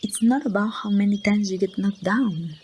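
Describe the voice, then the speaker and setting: A woman playing a grieving young woman saying goodbye to a lost loved one in an ASMR roleplay, speaking softly and haltingly, at times near a whisper. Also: medium pitch, natural voice. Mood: solemn, encouraging. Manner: soft, halting, breathy delivery slipping toward whispers. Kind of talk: amateur ASMR roleplay monologue. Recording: outdoor ambience with birdsong, faint noise.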